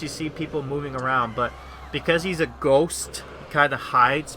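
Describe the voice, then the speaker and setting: A man's voice: mid-range voice, bright, forceful tone, slight background noise. A young man casually commenting on a mobile game, rambling with many fillers and trailing thoughts. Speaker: let's-play host.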